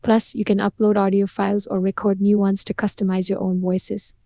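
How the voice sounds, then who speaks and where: Smooth flat female promo voice-over. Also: mid-range voice, brisk, no echo, studio quality. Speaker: product promo narrator.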